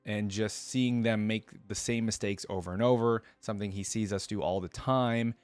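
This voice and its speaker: A man's voice: on the low side, fairly even intonation, moderate pace, darkish tone, clean tone, no echo, soft background music. A man giving reflective reaction commentary and reading game lore aloud in a casual style.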